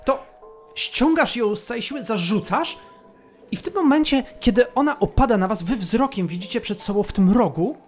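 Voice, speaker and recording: A woman's voice: fairly high pitch, lively intonation, moderate pace, soft background music. A woman narrating a tabletop roleplaying game as game master, telling the story in a descriptive, suspenseful way.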